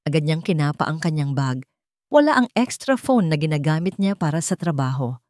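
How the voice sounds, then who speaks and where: A woman's voice: low-pitched, animated, brisk, a little mellow, no room sound, clean studio sound. A woman storyteller giving a scripted, dramatic narration of an emotional tale.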